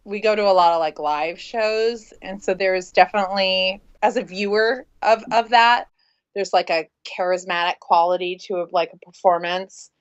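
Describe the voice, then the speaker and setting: A woman's voice: mid-range voice, steady tone, normal speed, clean tone. A woman talking informally and casually in a group conversation as a band member. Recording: dull, muffled lo-fi recording.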